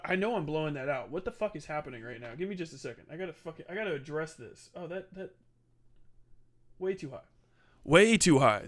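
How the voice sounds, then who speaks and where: A man hosting a livestream in casual, rambling, sweary chat, with an animated way of talking into a close mic. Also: a little high, smooth, no echo. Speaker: livestream host.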